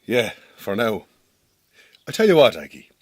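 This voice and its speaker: Radio drama: Irish farmer boss, lowish voice, very animated intonation, awkward, well-meaning, blunt yet hesitant. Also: male voice, a little slow, no echo, heavily acted. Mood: conciliatory. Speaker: actor in an audio drama.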